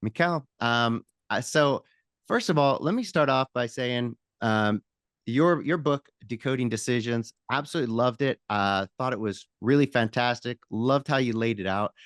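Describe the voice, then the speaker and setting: Dry-sounding hesitant podcast host. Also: male voice, mid-range voice, moderate pace, smooth, no echo. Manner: hesitant, many fillers and restarts when asking questions. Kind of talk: podcast interview and read sponsor ad.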